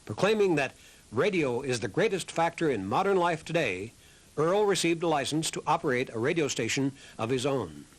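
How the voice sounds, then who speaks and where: Male narrator for history documentary, husky tone, scripted read, a bit higher than average. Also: lively intonation, moderate pace.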